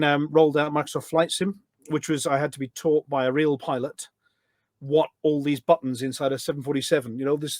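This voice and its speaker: A man's voice: on the high side, no echo, studio quality. A British man telling anecdotes in a casual, enthusiastic, rambling way as a podcast guest. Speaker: podcast guest, voice pack developer. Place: small studio.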